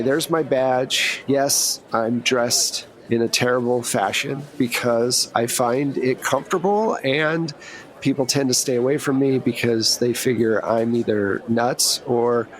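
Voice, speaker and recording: A man's voice: mid-range voice, lightly acted. Tabletop role: eccentric male fed, chatty, offhand, dryly self-aware, played by a man. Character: talkative, laid-back, eccentric male government agent.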